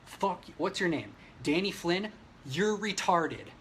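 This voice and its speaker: A man with a rather high voice holds forth on a livestream. He is brash, opinionated and emphatic, swearing often and talking straight to his viewers without a script. There is faint noise in the recording. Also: lively intonation, unhurried. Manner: emphatic, agitated delivery. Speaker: livestreamer giving political commentary. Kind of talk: unscripted ranting livestream, reading viewer comments. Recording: noisy livestream audio.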